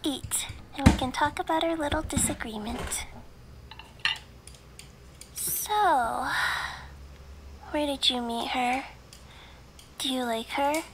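A woman's voice: sits high, slow pace, long pauses, noisy background. A woman playing a possessive, jealous young girlfriend in an ASMR roleplay, sweetly menacing and teasing, slipping into whispers. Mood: probing, menacing. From Canada.

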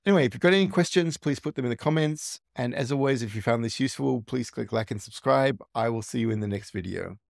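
A man's voice: medium pitch, quick pace, gravelly, few pauses, dry recording, studio quality. A man hosting a tech tutorial, casually walking through a screen recording and thinking aloud with small restarts.

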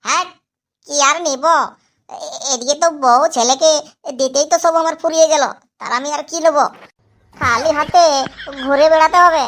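A woman's voice: high voice, normal speed, pauses often, a bit bright. A woman voicing a hard-drinking, broke village father in a comedy cartoon, whining and defiant. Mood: whining, evasive.

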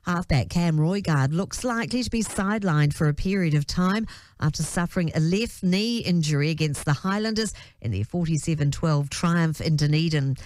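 A woman's voice: low-pitched, lively intonation, normal speed, darkish tone, no echo. A woman with a New Zealand accent reads a scripted news bulletin as a radio newsreader. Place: dry radio studio.

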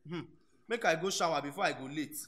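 A man's voice: on the high side, quick pace, loud music behind the voice, lightly acted. A young man with a Nigerian accent playing an eager, timid university fresher in a radio drama. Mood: hurried, friendly. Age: young adult. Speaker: actor.

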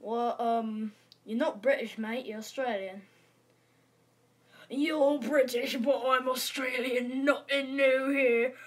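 A bossy, grouchy principal in a make-believe school play, acted out by a high-voiced performer. The voice is high and slow, repeating orders to keep everyone in line. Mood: pompous.

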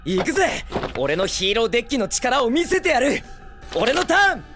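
A man voicing a brash, excitable teenage boy hero in an anime film, with cocky, eager, boyish speech. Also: very high voice, moderate pace, faint music behind, full character voice. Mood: fired up. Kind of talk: Japanese anime film acting.

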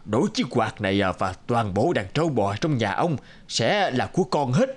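Blustering, greedy rich landlord. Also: male voice, mid-range voice, big pitch swings. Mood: wheedling. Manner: wheedling, blustering, full of drawn-out hesitations. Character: greedy, bullying, pompous rich landlord, heavyset middle-aged man. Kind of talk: animated folktale dialogue.

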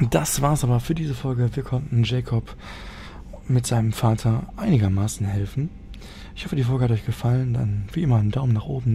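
A man's voice: medium pitch, creaky, mellow, slight background noise. A man giving casual let's play commentary in a laid-back, musing manner.

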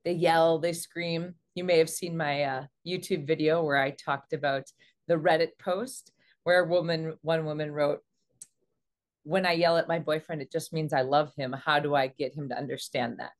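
Woman, 40s, fairly low voice, earnest and measured coach delivering instructive advice to camera. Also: steady tone. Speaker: relationship coach and host. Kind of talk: explanatory advice talk to camera. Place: small indoor room.

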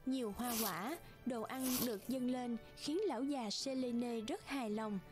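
A woman's voice: on the high side, a little mellow. A woman reading scripted fairy-tale narration for a cartoon as the storyteller.

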